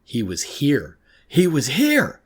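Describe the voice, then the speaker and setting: A man's voice: on the low side, lively intonation, moderate pace, slightly rough, voice pushed up. Haunted schoolgirl, frightened and pleading, voice pushed well up by a male narrator, for a horror story. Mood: panicked. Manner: pleading, emphatic, agitated. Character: frightened, distraught teenage schoolgirl haunted by nightmares.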